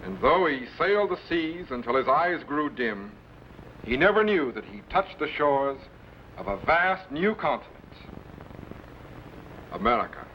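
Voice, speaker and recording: A man playing a commanding, earnest time-travelling scientist in a 1950s-style sci-fi serial, with crisp theatrical delivery. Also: mid-range voice, very animated, measured pace, long pauses, a little hiss or hum. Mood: earnest, reflective. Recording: muffled vintage show soundtrack.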